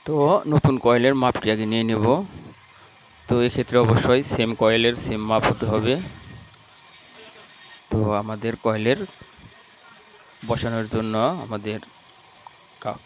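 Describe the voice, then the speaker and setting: A man's voice: on the low side, level tone, normal speed, pauses often, background noise. A man with a Bangladeshi accent narrating a step-by-step repair tutorial in a plain, instructional way. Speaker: electronics repair channel host.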